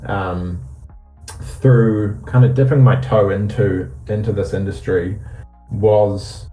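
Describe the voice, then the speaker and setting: A New man with a fairly low, mellow voice speaks as an interview guest, easy-going and full of ums and restarts. His voice sits in a roomy, echoey space, with faint music underneath. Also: slow pace. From New Zealand. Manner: relaxed, many ums and restarts. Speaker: guest, company CEO. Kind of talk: casual interview on a voice call.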